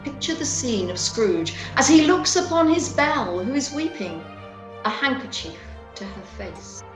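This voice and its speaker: A woman's voice: high-pitched, sing-song, a little slow, over music. A woman narrating a story as part of an amateur radio play. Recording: roomy home video call.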